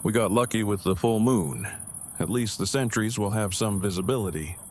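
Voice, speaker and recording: An awkward, earnest man surviving the apocalypse played by a male actor speaks in a lowish voice. His phrasing is stiff, formal and long-winded. Clear night-time noise with crickets sits behind him in this audio drama. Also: normal speed, close to an everyday voice. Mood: matter-of-fact. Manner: formal, wordy, stilted phrasing.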